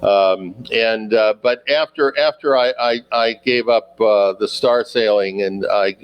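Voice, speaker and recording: A man in his sixties answering interview questions in a halting way, with many fillers and repeated words. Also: lowish voice, smooth, rough recording. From United States. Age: 60s. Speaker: guest sailor.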